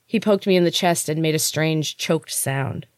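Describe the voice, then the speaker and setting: A woman's voice: low voice, moderate pace, a little mellow, no echo, clean studio sound. A woman hosting a story podcast and reading fiction aloud as a narrator. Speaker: author and podcast host. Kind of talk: storytelling narration of own novel.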